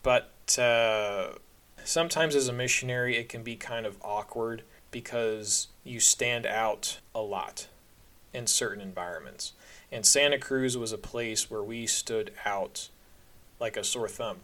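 A man's voice: mid-range voice, measured pace, fairly bright tone. A man recounting his own experiences in a candid, conversational storytelling style with a wry sense of humor. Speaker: podcast narrator. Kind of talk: casual personal storytelling.

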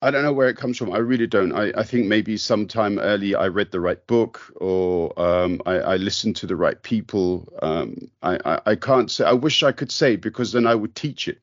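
A man with a very low, deep voice talks slowly and thoughtfully, often stopping to restart. The sound is dry and close, with a dull, muffled quality. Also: no echo. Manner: thoughtful, hesitant, many restarts. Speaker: podcast host. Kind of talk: reflective conversation.

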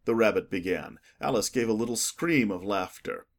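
A man narrating a children's story in an expressive, scripted audiobook style. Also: lowish voice, moderate pace.

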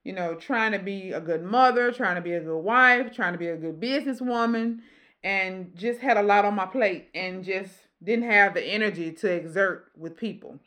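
Deep-voiced woman in her forties, emphatic. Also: moderate pace, fairly bright tone. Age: 40s. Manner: emphatic, preachy, repeats phrases for emphasis. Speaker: podcast host. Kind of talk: casual motivational podcast chat.

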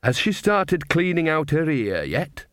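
A man reading an audiobook, voicing an earthy, gossipy old female witch in a slow drawl. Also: on the high side, very animated, fairly bright tone, clear, resonant tone, no echo, clean studio sound, pitched above the performer's own voice. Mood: drawling gossip. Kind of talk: audiobook character voice.

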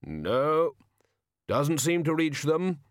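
A man's voice: a little high, very animated, very slow, no room sound, clean studio sound, voice pushed up, full character voice. A man playing a ponderous, solemn hooded figure with a heavy voice and a literal, gloomy manner. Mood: puzzled.

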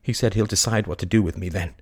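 A man playing a playful, teasing young gentleman with a theatrical, grandiose manner. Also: low voice, slightly rough. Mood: mock grave. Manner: dramatic, halting stammer and grandiose phrasing.

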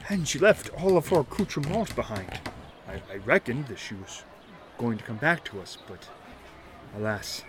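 An actor playing a kindly, fretful shantytown mayor with florid, old-fashioned speech in a noir audio drama. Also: male voice, medium pitch, sing-song, normal speed, background noise, natural voice. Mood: hesitant, worried. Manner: florid formal old-fashioned wording, stammers when worried.

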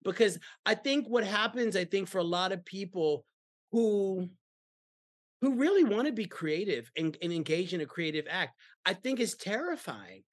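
A woman's voice: medium pitch, lively intonation, moderate pace. A woman hosting a podcast, talking in a rambling way with many restarts and repeated words. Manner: rambling, repeats words, often asks "you know what I mean". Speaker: podcast host. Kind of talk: casual conversation.

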